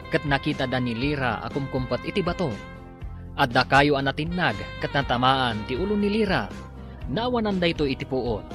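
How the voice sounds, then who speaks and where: A man with an Ilocano accent giving scripted dramatic narration as a radio drama announcer. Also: medium pitch. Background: music bed.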